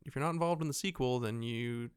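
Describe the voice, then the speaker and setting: A man with an average-pitched, clean and resonant voice talks casually as a podcast co-host. He rambles through his story, jokes dryly and acts out little exchanges. The sound is dry, with no room to it. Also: fast talker, clean tone, dry recording. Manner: joking, sarcastic asides. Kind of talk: casual movie discussion.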